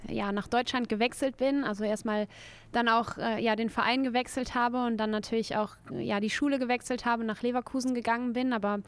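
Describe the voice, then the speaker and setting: A woman in her thirties from Belgium answers interview questions in a relaxed, easygoing way. Her voice is smooth and clear and sits a little high, with fillers and the odd light laugh. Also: fairly quick. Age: 30s. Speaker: guest, footballer.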